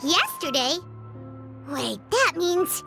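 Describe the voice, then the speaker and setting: A tiny, earnest fairy companion from a video game. The voice is extremely high and squeaky, bouncing up and down in exclamations like a child's. Music plays clearly behind it. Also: female voice, sing-song, slow pace, sharp tone, full character voice. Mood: surprised. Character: Paimon, small childlike floating fairy companion, indignant and earnest.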